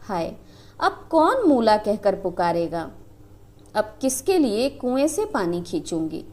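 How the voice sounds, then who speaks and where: A woman's voice: mid-range voice, sing-song, slow pace, darkish tone. A woman voicing a devoted young village wife who speaks with passion and pleading. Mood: grieving. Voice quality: impassioned, lamenting.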